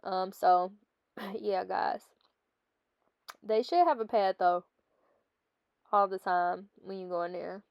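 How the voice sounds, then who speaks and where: A woman's voice: medium pitch, takes it slowly, creaky, long pauses, clear, resonant tone, dry recording, dull audio. Chatty stuffy-nosed young woman. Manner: chatty, laughing, talks to viewers as "guys". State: stuffy nose. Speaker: fitness vlogger. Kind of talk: casual voice-over chat.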